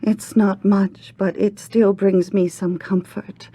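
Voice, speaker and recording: A grieving older mother in a game cutscene. Her voice is mellow and breathy, unhurried in its phrasing. She is gentle, pleading and hesitant. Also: mid-range voice, flat delivery. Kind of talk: video game cutscene acting.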